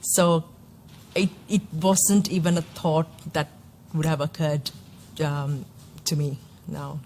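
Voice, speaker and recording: A woman's voice: sits low, little pitch movement, takes it slowly, a little mellow, a little hiss or hum. A woman from Sri Lanka giving a personal testimony in an interview, hesitant, with many fillers and restarts.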